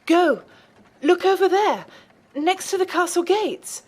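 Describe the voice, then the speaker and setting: A woman playing a serene, devout warrior nun, polite, formal and gently superior, in an audio drama. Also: extremely high pitch, lively intonation, slow, heavily acted. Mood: alert.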